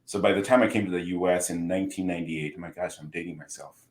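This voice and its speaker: A middle-aged man with a Zimbabwean accent and a very low voice, easygoing as a podcast guest. He often stumbles and repeats a word before getting going. The room gives his voice a noticeable echo. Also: fast talker. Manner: relaxed, repeats words as he restarts, chuckles easily. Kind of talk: casual podcast interview. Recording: roomy echo.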